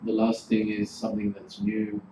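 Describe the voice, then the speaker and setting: Man from Greece, 40s, casual hotel-trainer delivery, fillers; boomy room, background noise. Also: monotone, moderate pace. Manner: chatty, informal, filler-heavy. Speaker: hotel general manager giving a workshop. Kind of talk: relaxed presentation to a class. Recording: roomy, noisy room recording.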